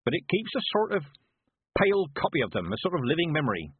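A man voicing a stern, commanding old female witch in an audiobook, speaking in a brisk and imperious way. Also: fairly high pitch, sing-song, husky, dry recording, narrow, tinny audio, voice pushed up, full character voice. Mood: matter-of-fact.

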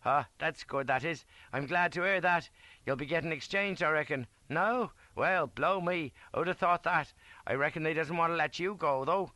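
A hearty, loyal English sergeant major as a male narrator plays him, bluff but deferential. The working-class voice is raised in pitch and forceful, in a dry storybook recording. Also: normal speed, dry recording. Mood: chatty, admiring. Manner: deferential, bluff soldierly speech.

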